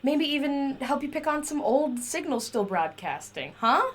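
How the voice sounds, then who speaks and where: A woman acting a chatty, animated eccentric inventor. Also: high voice, moderate pace, a little hiss or hum. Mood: nervous persuasion. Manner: rambling, wheedling, talks to a silent companion. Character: chatty eccentric woman inventor, eager, self-justifying kidnapper. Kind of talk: audio drama monologue.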